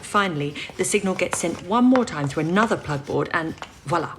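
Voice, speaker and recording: Experienced female codebreaker, encouraging and patient, lowish voice with very mobile intonation, some noise woman acting, radio drama. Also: expressive, fairly quick, lightly acted. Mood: patient, explaining. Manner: reassuring, patiently explanatory.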